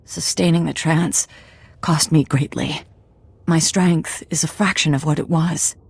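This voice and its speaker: An actress playing a weary, weakened Jedi Master in a video game, gracious and calm despite her exhaustion. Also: low-pitched, measured pace, gravelly, breathy edge, no echo, heavily acted. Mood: exhausted, grateful. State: exhausted, weak. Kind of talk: video game dialogue acting.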